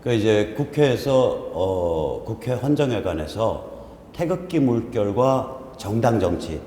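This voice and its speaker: A man's voice: fairly low pitch, slow pace. Joking man in his fifties, echoey hall. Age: 50s. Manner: joking, self-deprecating, animated. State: congested, strained throat. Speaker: host. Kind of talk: casual political talk show banter. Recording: roomy hall echo, faint noise.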